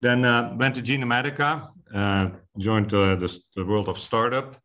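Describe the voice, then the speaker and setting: A man from the Netherlands with a Dutch accent, introducing himself as a webinar guest in quick, halting speech full of uhs. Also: medium pitch, fairly even intonation, fairly bright tone. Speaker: guest expert.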